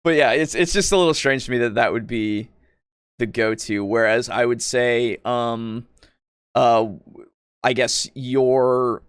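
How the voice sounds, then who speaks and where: A man's voice: medium pitch, a little slow, smooth, no echo, clean studio sound. A man co-hosting a casual tabletop roleplaying discussion, rambling and searching for words, with some casual swearing. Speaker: podcast co-host.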